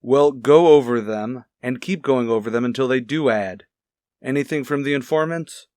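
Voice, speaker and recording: A man's voice: medium pitch, normal speed, darkish tone, no echo, natural voice. Man playing a firm, demanding police captain. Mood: stern, urging. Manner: firm, clipped, commanding. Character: gruffly demanding male police captain pushing his detectives. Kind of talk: hard-boiled police radio drama dialogue.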